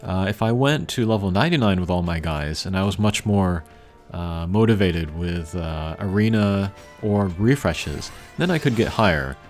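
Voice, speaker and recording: A man giving casual, unscripted gameplay commentary as a channel host, thinking aloud in a measured way. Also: sits low, lively intonation. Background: game music.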